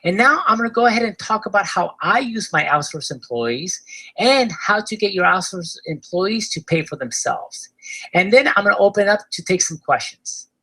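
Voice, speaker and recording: A man with an Argentine accent giving an upbeat webinar sales pitch for his online course, often tagging his sentences with "right?". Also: expressive, normal speed, bright, forceful tone, husky, low-quality recording. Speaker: course seller presenting a webinar.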